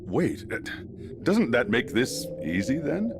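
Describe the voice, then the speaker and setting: An actor playing an earnest, animated fighter in an anime game. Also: male voice, mid-range voice, a little slow, gravelly, noisy background. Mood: puzzled, eager.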